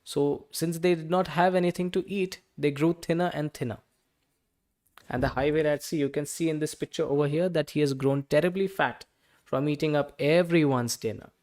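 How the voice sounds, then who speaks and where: A man's voice: fairly high pitch, steady tone, normal speed, a little mellow. A man with an Indian accent reading a bedtime story to children and explaining it simply as he goes.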